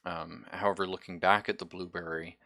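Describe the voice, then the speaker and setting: A man narrating a tabletop sci-fi horror game as game master, halting as he thinks aloud. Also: low voice, flat delivery, vocal fry, bright, forceful tone.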